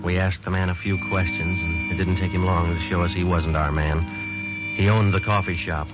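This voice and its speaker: Low, deadpan American police detective played by a man. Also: moderate pace, over loud music, close to an everyday voice. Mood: matter-of-fact. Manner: deadpan, matter-of-fact. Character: terse, weary male police detective sergeant narrating his case. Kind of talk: 1950s radio police drama acting, clipped narration. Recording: muffled, noisy old radio recording.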